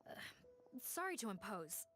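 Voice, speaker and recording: A woman's voice: medium pitch, sing-song, normal speed, a bit bright, slight background noise. A woman voicing a composed, businesslike investigator in a game, polite and formal. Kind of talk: game dialogue.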